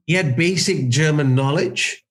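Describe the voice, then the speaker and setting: A man's voice: on the high side, measured pace. A man answering interview questions as a cross-cultural consultant, thinking aloud, restarting sentences and checking in with "right?". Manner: thoughtful, restarts sentences, often adds "right?".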